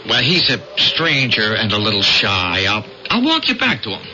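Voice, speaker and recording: A man's voice: fairly low pitch, huge pitch swings, moderate pace, noisy background. An actor playing an earnest, anxious ordinary man with a hesitant, stammering delivery in an old radio drama. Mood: nervous coaxing. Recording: muffled radio broadcast over loud music.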